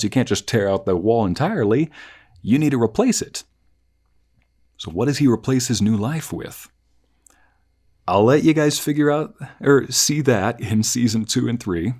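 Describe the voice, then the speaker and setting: A man talking through a conversational review as a host, reflective and halting, often rephrasing his thoughts as he goes. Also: deep voice, a little mellow. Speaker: host of story review channel, narrator.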